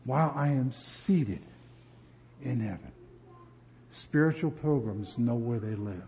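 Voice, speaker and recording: A man from New Jersey preaching a sermon as pastor, animated and often repeating words as he builds emphasis. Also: medium pitch, takes it slowly, dull audio, a little hiss or hum.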